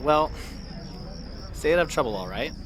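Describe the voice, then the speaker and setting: An actor playing a concerned, cautious grown son and crew member in an audio drama. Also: male voice, on the high side, lively intonation, normal speed, heavy background noise, lightly acted. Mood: worried, tender.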